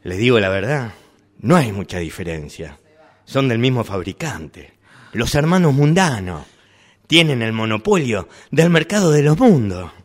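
A dry, matter-of-fact shopkeeper, played by a man. He lets his pitch rise and fall freely but takes his time. It is delivered as a calm, patient sales pitch. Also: unhurried. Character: weary male shopkeeper selling worlds. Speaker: workshop radio drama actor. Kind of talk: amateur radio drama rehearsal.

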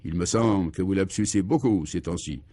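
Man playing an earnest, obedient henchman, lowish voice. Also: a little fast, warm tone, dry recording. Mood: deferential, earnest. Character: obedient, literal-minded male messenger of a conspirator.

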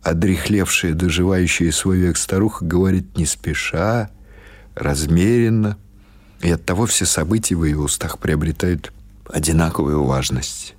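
A man reading literary prose as an audiobook narrator, in a measured, expressive storytelling manner. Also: deep voice.

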